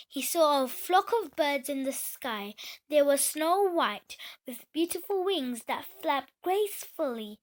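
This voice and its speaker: A woman reads a children's tale aloud. Her voice sits high, and she takes the narration slowly, as in a read-along for young listeners. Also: rough recording. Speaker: children's story reader.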